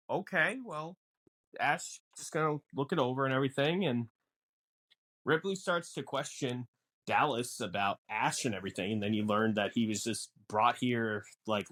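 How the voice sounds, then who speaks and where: A man co-hosting a podcast, explaining a film casually in a halting, conversational way. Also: mid-range voice, a bit bright, no room sound. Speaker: podcast co-host.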